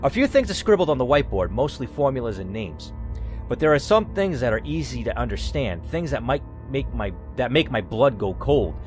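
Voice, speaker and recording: A man reads a choose-your-path story aloud in first person and talks through his choices between passages. His pitch swings freely, and faint music plays underneath. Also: big pitch swings, brisk, a little mellow. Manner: reads in first person, then breaks off to think aloud and curse. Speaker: player reading a story game aloud. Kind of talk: reading narration with playthrough commentary.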